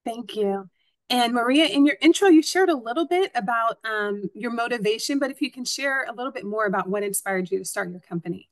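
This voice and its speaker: A woman's voice: fairly high pitch, a little fast, no echo. A woman in her thirties moderating a webinar panel, polite and formal. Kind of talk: scripted moderation.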